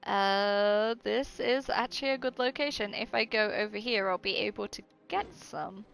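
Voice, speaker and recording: A woman's voice: mid-range voice, normal speed, vocal fry, fairly bright tone. A woman chatting casually and informally as she streams her gameplay, swearing freely. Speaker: game streamer. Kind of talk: casual live gameplay chat.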